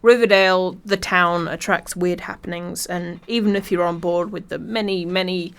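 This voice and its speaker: A woman's voice: lowish voice, steady tone, moderate pace, a bit bright, clean tone. A woman reading a scripted video essay in an informal way, with wry, dry asides. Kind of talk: scripted video essay narration with casual humour.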